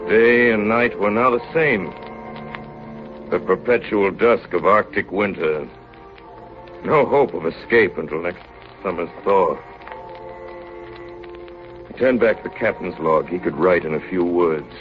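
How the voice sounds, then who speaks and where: An actor playing a steady, grave ship's officer telling of an Arctic voyage in 1930s radio drama style. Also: male voice, deep voice, unhurried, long pauses, faint music behind. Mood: grave, weary. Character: ship's chief narrating his Arctic diary, steady and grave. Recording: muffled, noisy 1930s radio recording.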